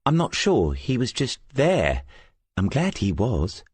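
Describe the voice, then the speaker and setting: Man voicing a meek young woman, pitched well up. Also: wildly swooping pitch, takes it slowly, a little mellow, breathy edge, no echo, full character voice. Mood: nervous, earnest. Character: nervous young woman care worker, apologetic witness. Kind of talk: audiobook character voice.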